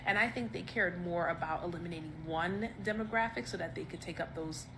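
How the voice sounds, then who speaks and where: Woman, lowish voice, thoughtful, hesitant, noisy clip recording. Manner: thoughtful, hesitant, with pauses and ums. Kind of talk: casual opinion video. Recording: clip with background noise.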